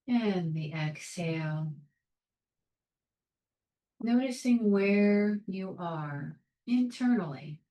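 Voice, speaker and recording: Slow, low-voiced woman guiding meditation. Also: roomy sound, rough recording. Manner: calm, soothing, measured with long pauses. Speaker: meditation guide. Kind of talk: guided meditation, soft instruction.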